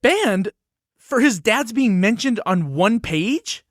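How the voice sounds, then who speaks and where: A woman's voice: fairly high pitch, expressive, takes it slowly, dry recording, clean studio sound. A woman acting as a cheerful, naive library book in a musical puppet show, earnest and questioning. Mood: alarmed, bewildered. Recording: music behind the voice.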